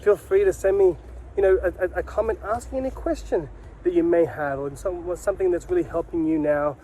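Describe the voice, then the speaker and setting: A man's voice: high-pitched, a little fast, mellow, slight background noise, rough recording. A man giving an instructional talk to camera as a sales coach, speaking emphatically with repeated key phrases and rhetorical questions. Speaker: sales coach and video host.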